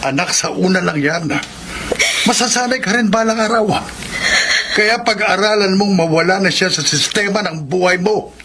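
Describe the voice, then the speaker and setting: A man's voice: sits high, moderate pace. An actor playing a pragmatic middle-aged father who gives firm advice in a Filipino radio drama. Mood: admonishing. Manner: firm, advising. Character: pragmatic, admonishing father of a grown daughter. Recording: loud ambient noise behind voice.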